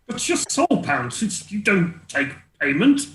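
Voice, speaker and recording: A man's voice: high-pitched, sing-song, measured pace, fairly bright tone, exaggerated voice. A man voicing a flustered, evasive dwarf foundry master, stammering and hedging as he talks in a tabletop game. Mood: defensive, reluctant. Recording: roomy echo.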